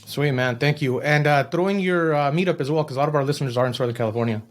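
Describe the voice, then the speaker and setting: A man's voice: on the high side, quick pace. An American man hosting a casual interview, informal and affirming, with slangy phrasing. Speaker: podcast host, interviewer.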